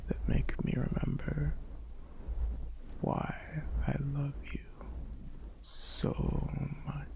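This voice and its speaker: A man as a slow, whispering, tender boyfriend. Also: mid-range voice, full character voice, low-quality recording. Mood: tender, adoring. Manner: soft, breathy whispering, gentle and deliberate. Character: tender, adoring boyfriend comforting a sad partner. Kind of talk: ASMR roleplay, whispered.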